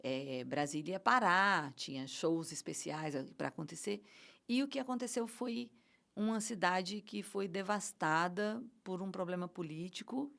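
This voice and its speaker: A middle-aged woman with a Brazilian accent talks as a guest in a casual interview. Her voice sits a bit low. She speaks with passion and feeling, often restarting her sentences. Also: a little slow, gravelly, fairly bright tone. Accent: Brazilian Portuguese. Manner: passionate, emotional, many restarts and fillers. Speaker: guest, event producer.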